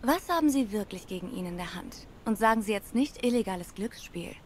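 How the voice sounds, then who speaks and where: An actress playing a poised, sharp-tongued young cat tennis star in a noir game, cool and sardonic. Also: female voice, medium pitch, expressive, fairly quick, slight background noise, natural voice. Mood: defiant. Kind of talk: cinematic noir game acting.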